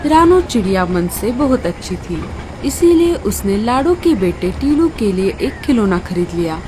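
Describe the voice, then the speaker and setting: A woman's voice: mid-range voice, sing-song, measured pace, background noise. A woman narrating a scripted children's story.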